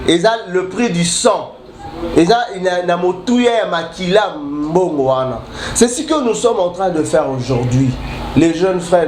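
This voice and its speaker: A man preaching a sermon while he has a cold, halting and restarting often, then building emphatic repetitions. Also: fairly high pitch, a little mellow. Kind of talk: sermon mixing French and Lingala. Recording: echoey room with faint ambient noise.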